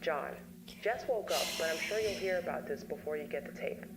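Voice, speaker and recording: A low female voice tells a horror story as a shaken investigator's taped account, performed by an actress. The delivery is a dramatic monologue, with music clearly playing behind it. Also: brisk. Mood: uneasy. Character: shaken woman recounting her investigation on a tape to John.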